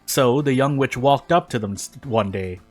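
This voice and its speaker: A man reads narrative prose aloud in an informal way, at an average male pitch. He sometimes trips on words and goes back over them, with music playing faintly behind him. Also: moderate pace, darkish tone. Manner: stumbles over words and rereads phrases. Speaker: fan fiction reader on a gaming video. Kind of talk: informal read-aloud of a story.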